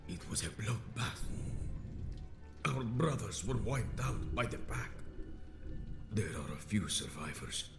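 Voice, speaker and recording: An actor playing a badly wounded, monstrous vampire elder in a video game, grave and vengeful, with a breathy rasping whisper and archaic, theatrical phrasing. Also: male voice, lowish voice, lively intonation. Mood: bitter, pained. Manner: theatrical, archaic, slow pronouncements. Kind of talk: dramatic video game acting. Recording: echoey, with loud music underneath. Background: music.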